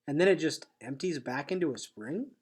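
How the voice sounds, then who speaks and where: A man's voice: on the high side, lively intonation, normal speed, slightly rough. A man voicing a cautious, protective young boy in a storybook, with a casual, guarded manner. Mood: feigned innocence.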